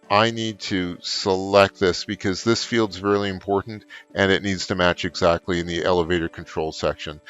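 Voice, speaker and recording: A middle-aged man explains software step by step as an unscripted tutorial, with frequent hesitant pauses. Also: sits low, dull audio. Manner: halting, frequent filled pauses. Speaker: trainer, tutorial presenter. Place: office room indoors.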